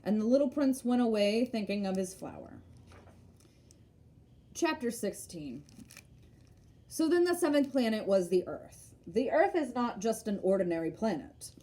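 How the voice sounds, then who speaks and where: A woman storyteller reading a children's classic aloud in an expressive narrating style. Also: fairly high pitch, measured pace, pauses often.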